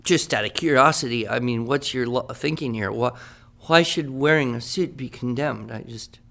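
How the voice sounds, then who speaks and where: A man's voice: mid-range voice, normal speed, gravelly, a bit bright. A man playing a writing teacher who leads a class in an upbeat, encouraging and wordy way. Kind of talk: scripted classroom radio drama.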